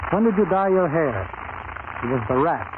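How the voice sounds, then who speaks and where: A man's voice: fairly high pitch, big pitch swings, normal speed. A plain-spoken man, a police officer guesting on 1930s radio, tells a scripted story over a tinny, hissy broadcast.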